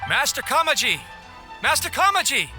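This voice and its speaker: A man's voice: extremely high pitch, sing-song, normal speed, music in the background. A man playing a nervous, deferential young retainer in a video game, respectful and prone to stammering. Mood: calling out.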